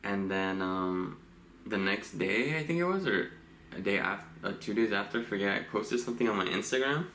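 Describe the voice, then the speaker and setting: A man in his late twenties from Los Angeles, co-hosting a couple's Q&A vlog in a relaxed, rambling way with frequent laughs. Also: lowish voice, normal speed. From Los Angeles, USA. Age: 20s. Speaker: vlogger co-host. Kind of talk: casual couple Q&A chat. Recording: echoey room with faint hiss.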